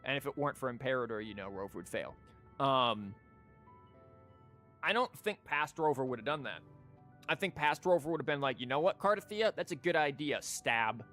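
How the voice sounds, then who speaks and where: A man's voice: medium pitch, expressive, normal speed, fairly bright tone, soft background music. A man livestreaming a game with casual, rambling commentary and a sarcastic, wry manner.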